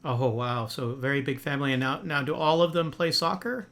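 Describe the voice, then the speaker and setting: A man's voice: mid-range voice, brisk, fairly bright tone. A man hosting a podcast interview in a friendly, casual way, with many fillers and restarts. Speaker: podcast host.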